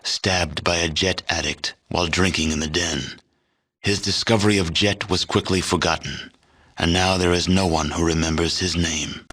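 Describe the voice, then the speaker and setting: A man narrating a video game as a grave, detached chronicler of a post-apocalyptic wasteland, in measured, matter-of-fact storytelling. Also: low voice, a bit bright. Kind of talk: scripted game ending narration.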